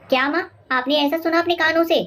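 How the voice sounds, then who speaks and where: A woman voicing a naive young daughter-in-law, deferential and full of questions, in an animated moral story. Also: extremely high pitch, fairly quick. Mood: worried.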